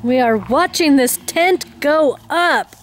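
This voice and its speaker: A woman's voice: high voice, animated, slow pace. A woman vlogging casually outdoors, narrating a family camping trip into a handheld camera. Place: outdoors at a campsite.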